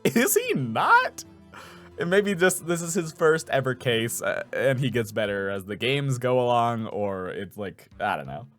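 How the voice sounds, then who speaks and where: Animated man chatting over a game. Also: medium pitch, a little slow, faint music behind. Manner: muttering asides, repeating words. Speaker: voice actor playing a video game. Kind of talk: let's play commentary, casual chat.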